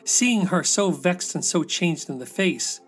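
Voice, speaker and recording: A man's voice: high voice, expressive, unhurried. A man narrating a fairy tale as a scripted storybook reading, with music behind him. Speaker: storybook reader.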